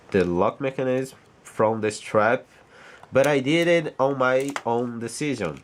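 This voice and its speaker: Slow, halting low-voiced man. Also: slight background noise. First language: Portuguese. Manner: hesitant, halting, repeats words while searching for English. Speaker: vlogger. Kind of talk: casual rambling vlog.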